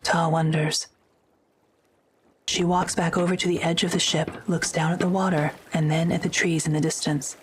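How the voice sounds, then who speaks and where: Measured woman narrator, some background noise. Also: low voice, flat delivery, rough recording. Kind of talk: scripted present-tense audio drama narration.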